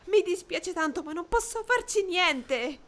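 A woman's voice: very high voice, lively intonation, unhurried, a bit bright, heavily acted. A woman voicing a headstrong, anguished young woman in a dubbed period drama, pleading and insistent. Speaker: amateur dubbing voice actor. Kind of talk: emotional film dubbing in period drama.

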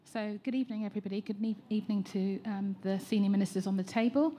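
English-accented woman, mellow husky voice. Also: mid-range voice, quick pace. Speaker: educator and teacher trainer. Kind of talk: prepared statement at a public meeting. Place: large hall at a public meeting.

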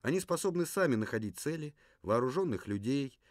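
A man's voice: medium pitch, animated, fairly quick, no echo, studio quality, lightly acted. A man voicing a male puppet survivor from a ruined future, who talks in an earnest, lecturing way and sometimes hesitates. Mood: warning. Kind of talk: puppet theatre performance.

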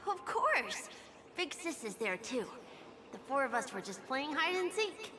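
A woman's voice: high-pitched, very animated, unhurried, noisy background. A woman voicing a cheerful, innocent little child in an anime game, sweet and earnest. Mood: happy. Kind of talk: video game voice acting. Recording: game music behind.

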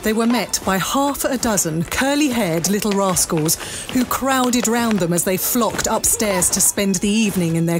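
A woman narrating an audio drama as a scripted, dramatic storyteller. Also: on the low side.

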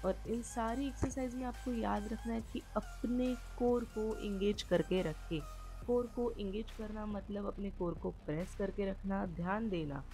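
A woman's voice: mid-range voice, steady tone, normal speed, mellow, faint music behind, poor audio. A woman giving a fitness vlog voice-over, explaining exercises and reminding viewers about posture and rest. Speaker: fitness vlogger. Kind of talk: instructional voice-over.

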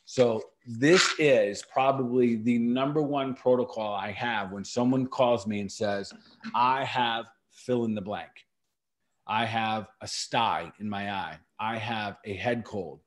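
A man's voice: on the low side, takes it slowly. A middle-aged man hosting a podcast, explaining herbal remedies in a casual, emphatic way and repeating key words for stress. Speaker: natural medicine practitioner and podcast host.

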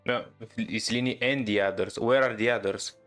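A man reading game dialogue aloud during a playthrough, understated and even. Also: on the low side, normal speed, faint music behind. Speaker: gaming YouTuber.

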